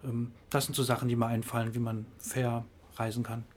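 A man's voice: mid-range voice, monotone, brisk, darkish tone, breathy edge. A middle-aged man, explaining things in an interview with lots of filler sounds and restarts. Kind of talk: hesitant casual explanation.

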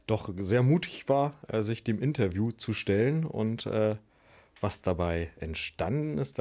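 Low-voiced male radio host. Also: darkish tone. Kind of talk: casual radio moderation. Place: radio studio.

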